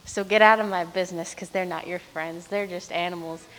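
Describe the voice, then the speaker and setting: A woman's voice: lowish voice, fairly even intonation, a little fast, a bit bright, natural voice. A woman voicing a hungry, menacing male tiger in a children's story, curt and dismissive. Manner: curt, threatening. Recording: reverberant hall.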